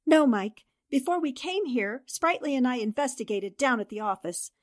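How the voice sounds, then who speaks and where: A woman's voice: high voice, animated, normal speed, dry recording, clean studio sound. A woman playing a composed, inquisitive newspaper columnist in a 1940s style radio drama, earnest and direct.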